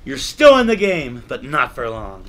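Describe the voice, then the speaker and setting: A man's voice: medium pitch, a little slow, raised voice, very noisy. A middle-aged man, a father hosting a family game video, chatting playfully and teasingly with a child. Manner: animated, teasing. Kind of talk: playful casual chat with a child. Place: indoor toy-filled room at a table. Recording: music behind the voice. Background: music.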